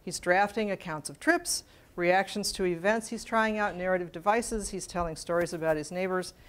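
A woman's voice: fairly low pitch, normal speed. An American woman in her sixties, a scholar delivering a prepared lecture. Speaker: scholarly editor giving a lecture. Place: library event room, at a lectern. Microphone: lapel microphone.

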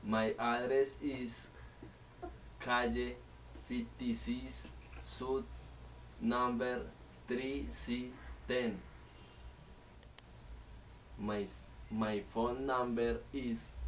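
Young man, Colombian accent, Spanish native, very slow, monotone, halting, camera mic at a distance, faint hiss, small living room. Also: medium pitch. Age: 20s. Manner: halting, word-by-word delivery with pauses. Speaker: student. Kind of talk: slow recited self-introduction for English class. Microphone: camera in front of the speaker.

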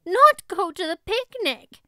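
Woman voicing a dramatic, high-pitched young girl. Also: expressive, slow pace, pushed and bright, no echo, clean studio sound, heavily acted. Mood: frantic pleading. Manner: theatrical, pleading. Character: dramatic, imaginative young girl, earnest and wordy.

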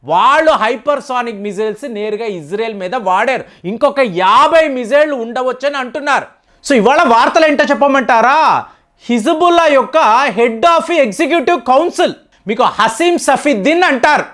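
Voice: sits high, expressive, normal speed, a bit bright. A commentator giving news analysis straight to viewers, explaining conversationally and emphatically with frequent rhetorical questions. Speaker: news commentary channel host.

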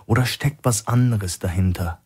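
A man's voice: on the low side, normal speed, a little mellow, slightly rough. A man voicing a big, blunt, sceptical male operative in a thriller audiobook. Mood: blunt, wary.

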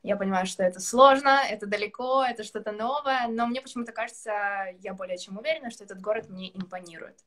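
A woman in her twenties chatting casually on a video call from a room at home, laughing often and talking with animated gestures. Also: mid-range voice, quick pace, hardly any pauses. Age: 20s. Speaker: guest singer. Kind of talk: casual live-stream chat. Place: indoor room with curtains.

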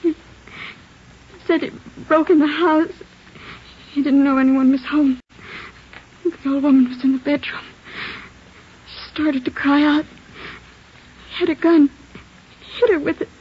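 An actress playing the tired, plaintive young wife of a fugitive in an old radio drama. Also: female voice, high-pitched, steady tone, a little slow, long pauses. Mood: weary. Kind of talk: 1940s radio acting. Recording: dull vintage radio broadcast.

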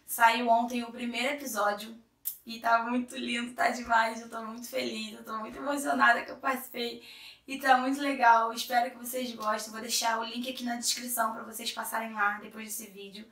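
Hoarse Brazilian woman, bright and echoey. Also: a little high, fairly quick, recorded from a distance. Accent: Brazilian Portuguese. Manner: chatty, lively, self-interrupting. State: hoarse from a cold. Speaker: vlogger. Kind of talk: casual chat to camera. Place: small room indoors. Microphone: camera in front at medium distance. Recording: echoey room, a little off-mic.

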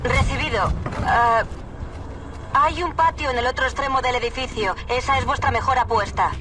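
A woman playing a calm, efficient military dispatcher in a video game, using clipped radio procedure. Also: high-pitched, normal speed, close to an everyday voice. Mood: businesslike. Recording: distant voice over noisy ambience.

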